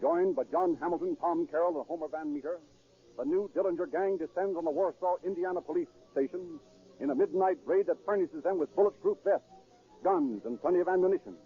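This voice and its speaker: Male narrator, slightly high voice, dramatic, thin vintage radio sound, old-time delivery. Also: brisk, husky, soft background music. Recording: tinny, phone-like 1930s radio recording.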